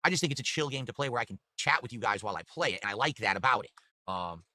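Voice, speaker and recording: A man's voice: medium pitch, rapid-fire. A man chatting casually on his stream, thanking viewers for tips and talking about his week. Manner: chatty, reads donations aloud, relaxed. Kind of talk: casual livestream chat.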